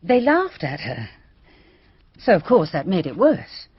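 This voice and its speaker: An actress with an English accent playing a composed, dismissive, self-satisfied middle-aged hostess in a radio mystery drama. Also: female voice, lowish voice, very animated, unhurried, slightly rough, heavily acted. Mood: defensive, dismissive. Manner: composed, dismissive, faintly condescending. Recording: dull, muffled radio drama recording.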